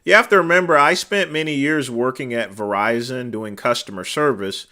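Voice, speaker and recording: A man hosts a casual livestream into a desk microphone, chatting with viewers and answering their comments. His voice is naturally loud and cuts through, with a bright, forceful tone. He rambles through fillers and lets the ends of his phrases drop to a mutter. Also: medium pitch, normal speed. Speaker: livestream host.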